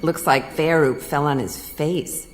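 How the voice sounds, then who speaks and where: A woman's voice: low-pitched, fairly even intonation, measured pace, slight background noise. An actress playing a tough, sardonic woman explorer in a radio play, with a dry, wry delivery. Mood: wry, curious.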